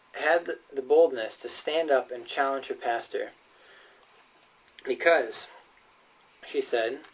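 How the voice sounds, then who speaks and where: A man delivering a persuasive, sermon-like speech in an earnest, emphatic and often hesitant way. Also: medium pitch. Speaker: speaker giving a prepared speech. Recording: voice distant from the microphone.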